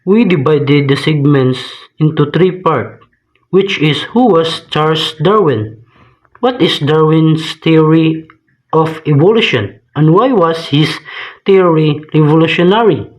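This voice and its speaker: A young male student reads a school report aloud in a steady, recited manner. His voice sits high for a man. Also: measured pace, rough recording. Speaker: student presenter. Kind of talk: reading a class report.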